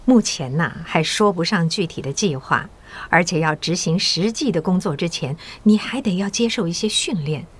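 An actress playing a middle-aged female school principal who secretly recruits agents, speaking in a measured, coaxing and kindly authoritative way in an audio drama. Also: on the low side, animated, slightly rough. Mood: earnest persuasion. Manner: measured, coaxing, elder's patient tone.

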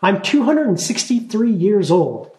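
A man's voice: high voice, big pitch swings, a little slow, darkish tone, reverberant, voice pushed up, rough recording. A man voicing a blunt, bossy female gnome who is 263 years old but childlike. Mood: chattering. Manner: blunt, morbid, hasty chatter.

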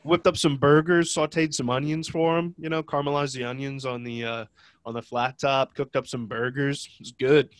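A man's voice: medium pitch, fairly even intonation, normal speed, dry recording. A young man with a head cold, his voice nasal and congested. He talks in a loose, rambling way, full of jokes at his own expense, like a podcast co-host chatting with a friend. The sound is dry and close, with no room around it. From Ohio. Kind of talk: casual chat.

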